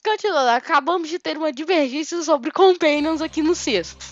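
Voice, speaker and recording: Giggly high-voiced woman with a Mineiro accent. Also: fairly quick, dry recording. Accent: Mineiro Brazilian Portuguese. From Belo Horizonte, Brazil. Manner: chatty, giggly, rambling with fillers. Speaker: podcast co-host. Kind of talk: casual fan chat. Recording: muffled lo-fi audio with faint music.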